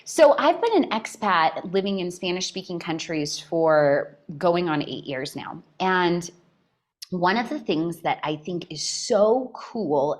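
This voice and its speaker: Animated American woman in her forties. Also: medium pitch. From United States. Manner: animated and expressive, breaks into laughter. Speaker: podcast guest. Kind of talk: casual conversational storytelling.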